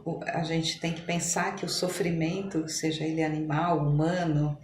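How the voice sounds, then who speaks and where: A woman with a Brazilian accent talking as an interview guest, halting and reflective, often rephrasing as she goes. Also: sits low, level tone, a little mellow. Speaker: podcast guest, physician. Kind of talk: conversational interview. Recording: echoey room with noticeable background noise.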